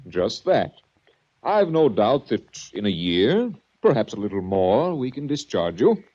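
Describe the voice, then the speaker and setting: A man's voice: on the low side, sing-song, a little slow, darkish tone. A man acting a stern, condescending sanitarium official in a 1940s radio drama, clipped and commanding. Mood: coolly patronising. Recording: muffled 1940s radio recording.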